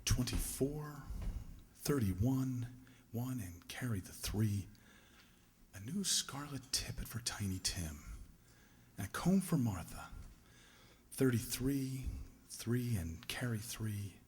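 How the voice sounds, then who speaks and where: Meek kindly male clerk, acted by a man, very animated pitch, deferential, hesitant, radio play, reverberant hall. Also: slow, pauses often. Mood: absorbed. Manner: deferential, hesitant, nervously eager. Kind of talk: radio play acting of a Victorian tale. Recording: echoey hall with long reverb.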